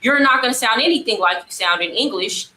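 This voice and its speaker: Woman, bright forceful voice, fairly high pitch, insistent explaining, casual debate, echoey room, faint noise. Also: expressive, a little fast. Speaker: podcast guest. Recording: roomy echo, faint background noise.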